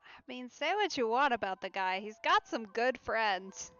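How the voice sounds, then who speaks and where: A woman's voice: on the high side, lively intonation, moderate pace, sharp tone, smooth, highs cut off, faint music behind. A woman giving casual live gameplay commentary as a streamer, musing and thinking aloud. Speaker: game streamer.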